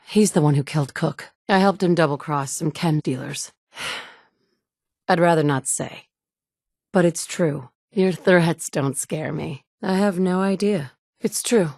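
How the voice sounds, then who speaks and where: Casting: a blunt, wry mother on a hunt, a slightly low voice, close and dry. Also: darkish tone, dry recording, heavily acted. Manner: dry, blunt, sometimes sarcastic. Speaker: player character. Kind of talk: video game dialogue lines.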